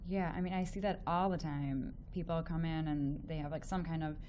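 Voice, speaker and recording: A woman's voice: low voice, steady tone, brisk, darkish tone, slight background noise. A woman with a Chicago accent hosting a call-in relationship show, chatty and enthusiastic, full of fillers and restarts. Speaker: relationship expert and radio show host. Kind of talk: casual call-in radio chat.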